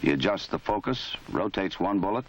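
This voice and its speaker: A man giving formal, measured scripted narration for an old training film. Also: low-pitched, animated, a little hiss or hum. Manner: measured, formal, matter-of-fact. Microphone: voice-over. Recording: dull lo-fi 1970s film soundtrack.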